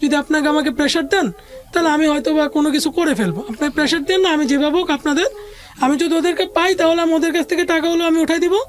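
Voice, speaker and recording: A woman's voice: sits high, quick pace. A woman with a Bangladeshi accent telling a personal life story as an interview guest, conversationally, often voicing what others said.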